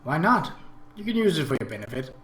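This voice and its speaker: A man's voice: a little high, sing-song, fast talker, a bit bright, reverberant, faint music behind. A man voicing a brash, teasing young woman in a visual novel, speaking bluntly and flippantly. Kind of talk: visual novel dialogue read-aloud.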